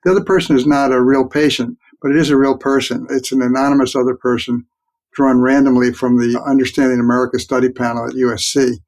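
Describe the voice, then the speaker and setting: An older man, a physician and researcher, explaining his work as an interview guest in a measured way. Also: medium pitch, level tone, a little mellow.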